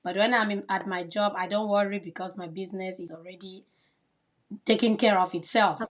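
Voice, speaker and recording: A woman's voice: medium pitch, fairly even intonation, a little fast. A woman from Cameroon, a kindergarten teacher, answering interview questions in an earnest, explanatory way.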